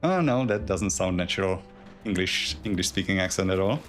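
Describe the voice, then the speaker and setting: A man's voice: low-pitched, expressive, a little fast, a bit bright, faint music behind. A man with a Czech accent that leans German, talking through a game live as a streamer and rambling as he thinks aloud. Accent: Czech, with a German tinge. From Czech Republic. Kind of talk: live gameplay commentary.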